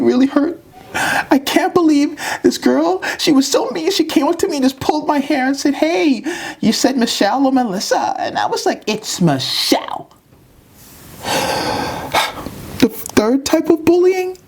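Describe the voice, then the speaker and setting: Very high-pitched little girl puppet. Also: gravelly, darkish tone, full character voice. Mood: hurt, explaining, then indignant, sad. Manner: theatrical, repetitive, emphatic delivery. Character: little pre-K girl puppet, earnest, bullied, dramatic. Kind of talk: puppet show lesson for young children.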